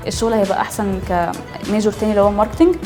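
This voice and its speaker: A 23-year-old woman with an Egyptian accent, chatting in a testimonial with small filler pauses. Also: mid-range voice, steady tone. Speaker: intern. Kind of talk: testimonial interview. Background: music.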